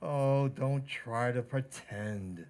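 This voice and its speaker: Slow-talking, amorous butcher, radio comedy played by a man. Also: mid-range voice, darkish tone. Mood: flirtatious, smitten. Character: amorous, cheesy butcher wooing a married woman. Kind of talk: old-time radio sitcom acting in community theater.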